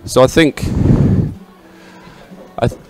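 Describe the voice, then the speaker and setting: A man's voice: takes it slowly, a little mellow. A man presenting a live sporting event in unscripted chat, effusive and repeating words for emphasis. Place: outdoors at a busy regatta venue.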